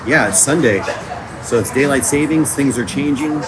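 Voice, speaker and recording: A man's voice: mid-range voice, moderate pace. A man talking casually and informally about his creative work, in video diary style. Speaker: audio drama writer and creator. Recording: noisy location recording with vehicle and ambient noise.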